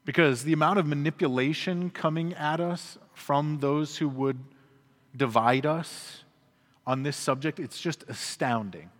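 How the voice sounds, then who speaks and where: A man's voice: on the high side, a little slow. Earnest man preaching in a reverberant hall. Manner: earnest, emphatic preaching with dropping endings. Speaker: pastor. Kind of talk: sermon. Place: church sanctuary.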